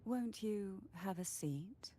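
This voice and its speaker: A woman's voice: mid-range voice, expressive, slow pace, warm tone, a little hiss or hum. A woman playing an elegant, enigmatic noblewoman in a video game, speaking formally with silky, poised politeness. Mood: veiled, soothing. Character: elegant, enigmatic noblewoman heading a powerful family. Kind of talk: scripted video game voice acting. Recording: game music clearly behind the voice. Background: video game music.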